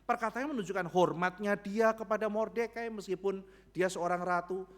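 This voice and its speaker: A man preaching a sermon as pastor, speaking, asking the congregation rhetorical questions and acting out biblical characters in quick dialogue. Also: sits high, steady tone. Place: church.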